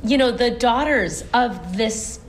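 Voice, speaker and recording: A woman's voice: on the high side, animated, slow pace. A woman in her forties from the United States, a stage performer being interviewed, telling her family story earnestly with lots of restarts. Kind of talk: casual interview chat.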